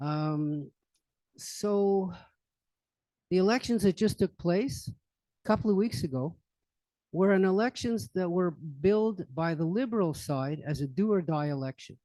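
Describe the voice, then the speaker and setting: A man's voice: sits high, measured pace, mellow, no room sound, rough recording. An older man giving an informal current-events talk to an audience at a public library, rambling with many fillers and restarts. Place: library meeting room.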